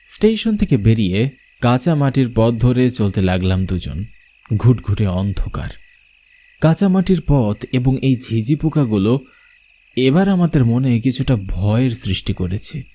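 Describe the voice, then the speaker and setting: Animated male horror storyteller, soft-toned. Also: medium pitch, unhurried. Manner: suspenseful, theatrical. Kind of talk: dramatic scripted horror story narration in first person.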